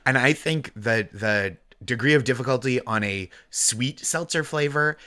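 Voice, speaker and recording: A man's voice: mid-range voice, measured pace. Chatty man with light Massachusetts accent. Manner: animated, chatty, laughs often. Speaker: podcast guest co-host. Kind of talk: casual chat.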